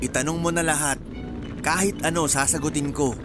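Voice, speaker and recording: Man playing a cheeky smitten suitor, high-voiced. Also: steady tone, a little fast, natural voice. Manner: teasing, flirtatious, easygoing. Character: persistent, cheeky, smitten male suitor, hardworking rice hauler. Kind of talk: radio drama acting. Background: music.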